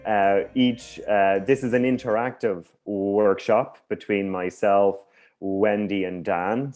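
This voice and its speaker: An Irish man who stutters, hosting a workshop presentation in a measured way with slight blocks on some words. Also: on the low side, a little mellow. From Ireland. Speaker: workshop host. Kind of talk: conference presentation. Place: video call.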